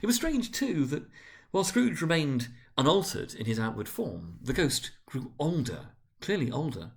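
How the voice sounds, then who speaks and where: A man narrating a literary story dramatically, with deliberate, expressive phrasing. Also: fairly high pitch, vocal fry.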